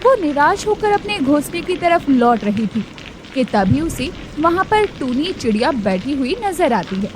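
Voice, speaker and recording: A woman reads a children's cartoon tale in a high, animated voice. Her scripted narration rises and falls expressively over faint background noise. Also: a little slow. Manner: expressive, storytelling.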